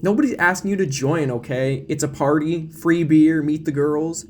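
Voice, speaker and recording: A man's voice: a little high, normal speed, a little mellow, a little hiss or hum, voice pushed up, lightly acted. A man voicing a feisty young female college roommate in a pushy, pleading, informal way, in an audio drama. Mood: insistent.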